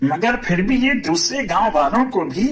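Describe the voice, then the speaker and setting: A man voicing a suspicious villager in an animated cartoon, wary and probing. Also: high voice, normal speed, recorded from a distance.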